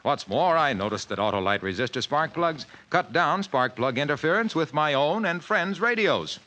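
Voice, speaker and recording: A man announces a sponsor's message in a bright, lively voice full of swoops. His delivery is folksy and upbeat, in the style of an old-time radio salesman. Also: a little high, normal speed. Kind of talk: scripted radio commercial.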